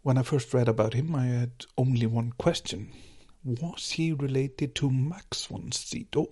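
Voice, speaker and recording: An Icelandic man talks through folklore in a scripted, explanatory way. His voice is husky and sits at a middling pitch. He paces his points with care and lets a faint wry humour through. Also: measured pace, warm tone. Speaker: folklore podcast host.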